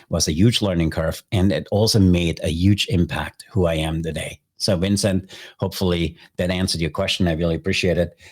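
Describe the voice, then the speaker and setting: A man's voice: low-pitched, husky. A middle-aged man from Austria with an Austrian German accent, a chef hosting a podcast and answering listener questions in an earnest way. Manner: earnest, repeats phrases, non-native grammar.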